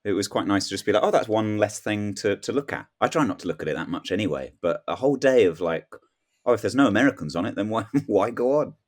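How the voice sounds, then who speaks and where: Very deep-voiced man bantering casually. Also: expressive, quick pace. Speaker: comedian guest on a podcast.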